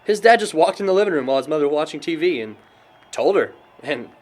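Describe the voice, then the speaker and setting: A man's voice: a little high, lively intonation, fast. A young American man acting a sombre friend telling stories in conversational film dialogue. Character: sombre young friend recounting a classmate's tragic downfall.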